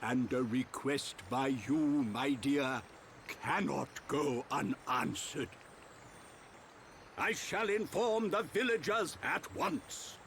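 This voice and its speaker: A man's voice: mid-range voice, slow, fairly bright tone, a little hiss or hum. A man playing a hearty, formal old village chief, a small furry creature in a video game, speaking grandly and emphatically. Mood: hearty, obliging. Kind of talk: video game cutscene acting.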